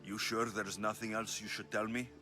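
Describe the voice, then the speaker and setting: A man playing a tough, level-headed mercenary in a video game, with a Greek accent and a measured, plain-spoken delivery. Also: lowish voice, little pitch movement, bright, forceful tone, soft background music. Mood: stern, probing. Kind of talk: video game cutscene acting.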